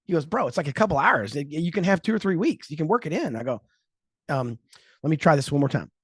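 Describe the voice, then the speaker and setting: A man in his mid fifties tells a story with plenty of energy, slipping into quoted voices and breaking into laughter. His voice sits a little high for a man. The recording is close and dry, with no room sound at all. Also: quick pace, slightly rough, no room sound. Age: 50s. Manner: animated storyteller, acts out quotes, laughs often. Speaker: podcast host. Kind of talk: casual chat.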